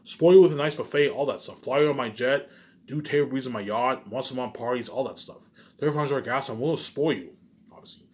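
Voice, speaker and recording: A man's voice: mid-range voice, quick pace, darkish tone. A man in a rambling casual monologue, boastful and repetitive, with emphatic bursts that trail off. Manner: boastful, self-assured.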